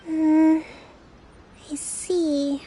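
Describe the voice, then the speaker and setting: An actress playing a gracious, overworked young leader in a video game, measured, polite and formal. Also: female voice, high-pitched, little pitch movement, warm tone, soft background music. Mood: resigned. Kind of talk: video game character acting.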